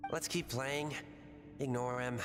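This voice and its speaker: A man's voice: mid-range voice, steady tone, takes it slowly, music in the background, close to an everyday voice. A man playing a dismissive, taunting young boy in a video game, his voice in long reverb. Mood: playful, dismissive.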